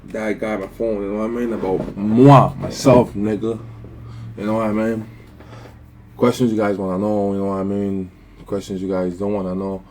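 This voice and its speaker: A man's voice: fairly low pitch, flat delivery, normal speed, warm tone, a little hiss or hum. A 24-year-old man from the Dominican Republic with a Caribbean English accent from the Virgin Islands, rambling casually through unedited vlog questions, swearing and saying "you know what I mean" constantly. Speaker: vlogger answering viewer questions.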